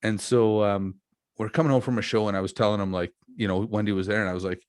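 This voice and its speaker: Forties male host, lower voice, dry close sound, loose rambling humor. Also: fairly even intonation, quick pace, dry recording. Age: 40s. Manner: jokey, self-deprecating, rambling. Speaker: podcast host, wrestler. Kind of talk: casual chat.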